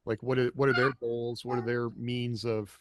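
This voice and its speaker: A man co-hosting a podcast, musing casually and reasoning out loud in conversation. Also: medium pitch, little pitch movement, normal speed, background noise. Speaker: podcast co-host. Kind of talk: casual panel discussion.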